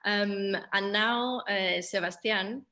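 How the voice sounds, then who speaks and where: Bright-voiced woman moderating a Q&A. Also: mid-range voice, slow, a little hiss or hum. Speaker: moderator of a theatre Q&A. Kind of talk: conversational interviewing.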